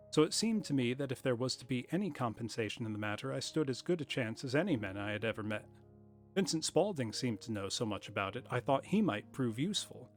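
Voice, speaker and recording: A man's voice: mid-range voice, close to an everyday voice. A man doing a chatty old-time shopkeeper. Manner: put-on period accent, storytelling. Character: chatty middle-aged male shopkeeper telling his story. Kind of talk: reading a story aloud.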